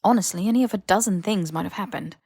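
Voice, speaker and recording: A woman's voice: mid-range voice, quick pace, dark tone, slightly rough, dry recording, studio quality. A woman voicing a hesitant, defensive but well-meaning young British man in an audiobook story. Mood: hesitant, explaining. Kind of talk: audiobook character dialogue.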